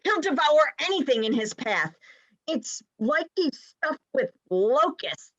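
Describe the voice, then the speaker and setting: A woman's voice: sits high, very animated, slow, a bit bright, dull audio, heavily acted. A woman playing an irritable, sarcastic rabbit in a dramatized play reading, with a mocking way of talking. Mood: sardonic.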